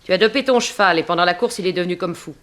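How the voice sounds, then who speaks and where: A woman's voice: lowish voice, racing, fairly bright tone, natural voice. An actress playing a rich man's shrewd, demanding mistress in an early 1960s radio drama, with a cutting, teasing manner. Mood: accusing. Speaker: actor.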